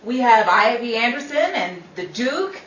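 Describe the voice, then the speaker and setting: Distant, roomy, noisy radio-play recording of a woman acting a high-voiced Broadway leading lady from the 1930s. She is brassy, bossy and theatrical, swooping through exasperated wisecracks as she runs rehearsals. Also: very animated, measured pace, a bit bright. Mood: boastful, snappy.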